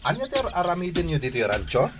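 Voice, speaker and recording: A man's voice: fairly high pitch, huge pitch swings, fast talker, over music. An actor with an Ilocano Filipino accent playing a casual, teasing young rich man in a radio drama. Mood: inviting.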